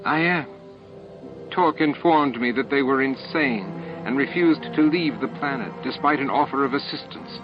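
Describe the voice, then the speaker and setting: A man's voice: medium pitch, normal speed, pitched above the performer's own voice, close to an everyday voice. A man voicing an earnest, troubled young female alien scientist in an audiobook drama. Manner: earnest, anxious, hesitant. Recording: dull lo-fi audio with music.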